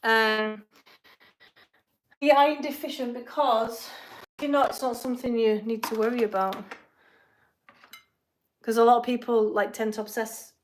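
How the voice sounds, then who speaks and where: A woman's voice: on the high side, steady tone, moderate pace, pauses often, slight background noise, poor audio. A woman with an English accent, a fitness coach chatting casually through a live question-and-answer stream from her home kitchen. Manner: chatty, rambling, asides while cooking.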